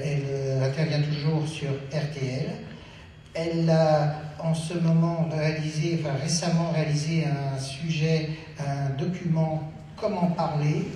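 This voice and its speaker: A man moderating a stage debate, introducing the guests in a halting way with many fillers. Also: fairly high pitch, dark tone, distant mic, a little hiss or hum. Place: theatre stage. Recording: echoey hall, picked up from afar.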